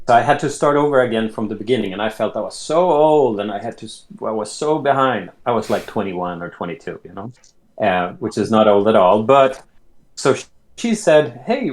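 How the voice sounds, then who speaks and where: A man in his late thirties from Sweden, telling his life story as an interview guest in an unhurried way, often restarting his sentences. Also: mid-range voice, animated. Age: late 30s. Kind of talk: casual conversation.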